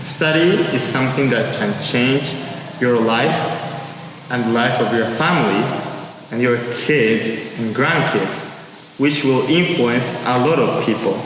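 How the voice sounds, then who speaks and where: A young man in his twenties from Japan, an exchange student, giving a halting, earnest and pleading address to listeners. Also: medium pitch. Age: 20s. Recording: echoey hall with long reverb and steady background noise.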